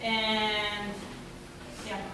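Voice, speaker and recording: A woman from Argentina answering audience questions at a symposium, pausing often and searching for words. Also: mid-range voice, monotone, background noise. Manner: halting, searching for words, many fillers. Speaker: artist at a symposium. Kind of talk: reflective Q&A answer. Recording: echoey lecture room from far off.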